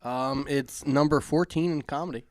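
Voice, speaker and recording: A man's voice: fairly high pitch, normal speed, no echo. A man, a podcast producer, chipping in with casual conversation. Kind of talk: casual chat.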